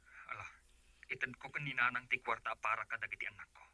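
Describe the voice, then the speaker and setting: A man with an Ilocano Filipino accent playing a bitter, reproachful abandoned husband in a radio drama, husky and hushed. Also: mid-range voice, steady tone, brisk, no echo.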